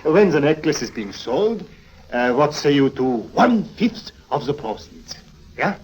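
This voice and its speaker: An oily German schemer in 1950s radio style. His husky voice jumps about in pitch. He speaks with a German accent and wheedling, deferential politeness, twisting the word order. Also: very animated, a little slow, darkish tone, exaggerated voice, rough recording. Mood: slyly polite. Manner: hesitant, wheedling, inverted foreign phrasing. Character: oily, deferential German swindler with a jealous streak. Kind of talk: 1950s radio drama acting.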